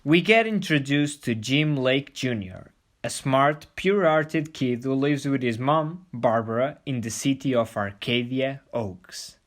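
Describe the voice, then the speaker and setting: A man's voice: mid-range voice, unhurried. A man hosting a scripted video review, playful and full of jokey asides. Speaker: host of a review show.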